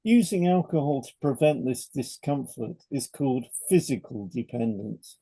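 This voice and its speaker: A man co-hosting a podcast, reading scripted educational narration in a measured, explanatory way. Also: mid-range voice, animated, warm tone, husky, no room sound. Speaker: podcast co-host, hypnotherapist.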